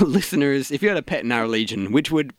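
A man presenting a community radio show as host, in a brisk announcing style. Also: mid-range voice, lively intonation, over loud music.

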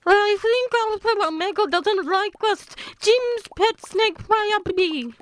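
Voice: extremely high pitch, takes it slowly, no echo, studio quality. A performer with a female-sounding voice playing a cartoonish pet animal in a comedy radio play, drawing out the words. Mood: plaintive.

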